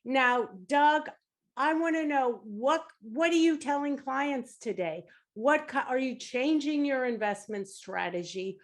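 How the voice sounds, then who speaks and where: Woman, podcast co-host, very slow and careful delivery, higher voice, cautionary. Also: lively intonation. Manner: measured, cautionary. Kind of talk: casual finance talk.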